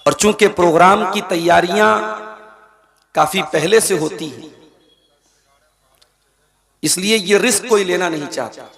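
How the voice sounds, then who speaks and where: A man preaching with a hoarse voice at a night village religious gathering, speaking in a measured, earnest way to the crowd. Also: high-pitched, a bit bright. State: hoarse, strained throat. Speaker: Islamic preacher. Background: crowd noise.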